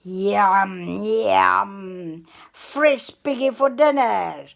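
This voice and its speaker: Woman doing a big bad wolf, greedy and menacing, slow drawn-out loud threats, storybook read-aloud. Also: medium pitch, creaky. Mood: gleeful.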